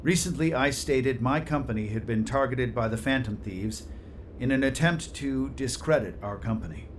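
An actor playing a remorseful middle-aged tech executive in a video game, speaking formally and solemnly as if making a public confession. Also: male voice, medium pitch, a little hiss or hum, natural voice. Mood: grave, resolute. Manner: solemn, formal, deliberate phrasing. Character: remorseful male tech CEO confessing his crimes. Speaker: company chief executive.

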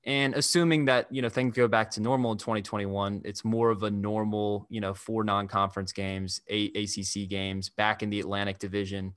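A young man hosting a sports podcast over a video call, talking in a measured, analytical way at a desk microphone. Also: lowish voice, level tone, fairly bright tone, clear, resonant tone, no echo, studio quality. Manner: measured, analytical, restarts phrases. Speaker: podcast host. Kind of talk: casual sports talk. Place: indoor room.

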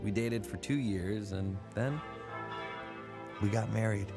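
An earnest young man narrates his story in a scripted radio drama. His voice sits on the low side and sounds reflective. Music plays clearly under his words. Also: slow pace. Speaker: narrator and lead character. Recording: studio drama over music. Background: music bed.

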